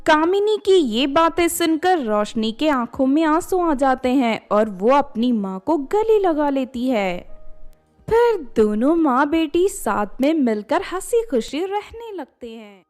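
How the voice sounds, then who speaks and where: A woman's voice: sits high, very animated, unhurried, darkish tone, soft background music. A woman storyteller reading scripted narration for a children's moral story.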